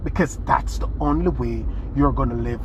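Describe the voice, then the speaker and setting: A man's voice: medium pitch, moderate pace, a little hiss or hum, rough recording. A man from Jamaica, giving an earnest motivational talk as a podcast host, restarting phrases and leaning hard on key words. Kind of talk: motivational monologue.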